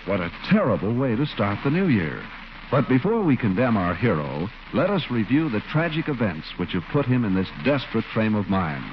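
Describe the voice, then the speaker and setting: A man's voice: fairly low pitch, big pitch swings, fairly quick, lo-fi sound. A male narrator playing a mock-solemn storyteller in formal 1940s radio comedy narration. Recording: noisy band-limited old radio recording.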